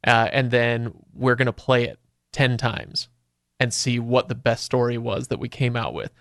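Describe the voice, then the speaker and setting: A man hosting a casual podcast discussion, talking conversationally as he thinks out loud. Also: medium pitch, moderate pace, gravelly, no echo, studio quality. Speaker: podcast host.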